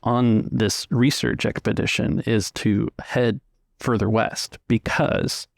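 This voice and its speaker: A man's voice: on the low side, fairly even intonation, slow, creaky, no echo, clean studio sound. A man narrating a tabletop roleplaying game as its game master.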